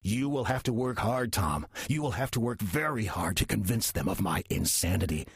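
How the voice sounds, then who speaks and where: An actor playing a slothful demon posing as a friendly churchgoer, casual, sardonic and persuasive, with a rough, husky voice in an audio drama. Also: male voice, lowish voice, expressive, normal speed, no room sound, exaggerated voice. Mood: coolly menacing.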